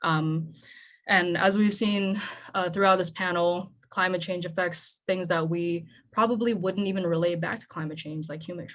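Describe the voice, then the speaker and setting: A woman's voice: fairly low pitch, steady tone, normal speed, fairly bright tone. Earnest young woman panel speaker. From Philippines. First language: Tagalog. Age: young adult. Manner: earnest, conversational, with frequent "right?". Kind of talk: speech at a panel event.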